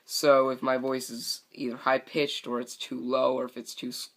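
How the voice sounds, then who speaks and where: A young man rants on his own podcast, bright and a bit edgy in tone, full of "um"s and false starts. His voice is slightly scratchy from a long day of talking, and it comes through a faulty microphone. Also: medium pitch, level tone, moderate pace. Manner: rambling, frustrated, many fillers and restarts. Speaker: podcast host. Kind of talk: solo wrestling podcast rant. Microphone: broken microphone.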